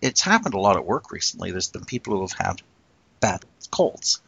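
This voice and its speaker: A man co-hosting a casual discussion, talking in an earnest, reflective way and slowing down to stress his points. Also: deep voice, very animated, vocal fry, a bit bright, husky, dry recording, lo-fi sound. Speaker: podcast co-host.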